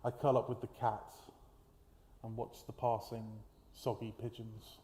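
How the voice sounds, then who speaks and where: A British man performs his own spoken-word poems at a live event, with a theatrical, deliberate storytelling delivery and dramatic emphasis. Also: on the low side, little pitch movement, mellow. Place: live at a festival event in a room. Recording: roomy, echoey live event, background noise.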